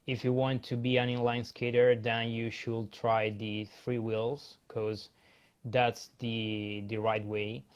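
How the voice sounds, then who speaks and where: Guy answering slowly, mid pitch, thinking out loud with lots of um and uh. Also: level tone. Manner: measured, hesitant, with fillers. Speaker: podcast guest and skater. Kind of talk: interview answers.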